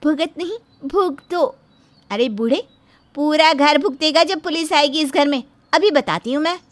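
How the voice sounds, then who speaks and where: A very high female voice plays a scheming, demanding in-law , acted by a woman. She is dramatic and pushy, whining and threatening in the manner of a family moral story. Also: a little slow. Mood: angry. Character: scheming, shrill mother of the bride and greedy threatening daughter. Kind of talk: animated moral-story dubbing.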